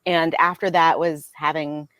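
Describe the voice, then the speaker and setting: A woman's voice: low voice, flat delivery, a little slow, fairly bright tone, no room sound, clean studio sound. A young American woman talking casually as a podcast guest, chatty with lots of fillers. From United States. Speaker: guest business owner.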